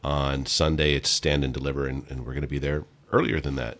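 A man with an extremely deep voice, guesting as a DJ on a radio show. He tells stories in a relaxed, unhurried way. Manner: relaxed storytelling. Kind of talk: casual radio interview.

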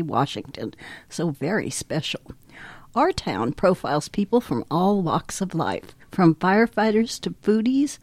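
A woman's voice: fairly low pitch, darkish tone, no echo. A woman hosting a local radio interview show, chatty and upbeat, laughing easily with her guest.

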